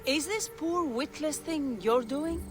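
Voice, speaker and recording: A woman's voice: sits high, takes it slowly, faint music behind. A woman playing a guarded, scornful bystander in a dramatic video game scene.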